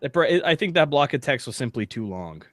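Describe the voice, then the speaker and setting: A man with an American accent talking casually as a podcast co-host, halting and a little pedantic. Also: medium pitch, very animated, no echo. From United States. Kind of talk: casual chat, reading text aloud.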